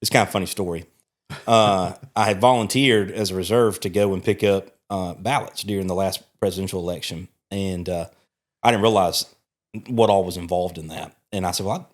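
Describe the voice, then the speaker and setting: Man, from Alabama, lowish pitch, folksy, chatty host. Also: steady tone, fairly quick. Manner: folksy storyteller, chuckling asides. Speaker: podcast host, church chaplain. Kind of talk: casual interview.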